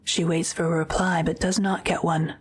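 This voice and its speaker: A woman narrates an audio drama in a lowish voice with very little pitch movement. Her delivery is neutral and matter-of-fact, with slight noise in the background. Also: little pitch movement, normal speed. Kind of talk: scripted present-tense audio drama narration.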